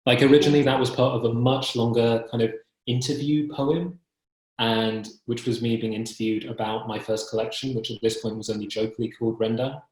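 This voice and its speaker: A young man speaking as an interviewed poet, reflective and halting, often correcting himself, over a video call. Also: medium pitch, fairly even intonation, a little mellow. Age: young adult. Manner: hesitant, halting, self-correcting. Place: book-lined room at home, indoors. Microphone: computer mic on video call. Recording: roomy, echoing video call.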